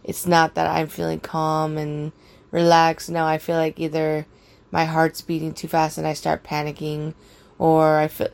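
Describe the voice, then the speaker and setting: A young woman with a raspy voice, casually rambling through a personal story with lots of fillers and swearing. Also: sits low, completely flat delivery, a little slow, darkish tone.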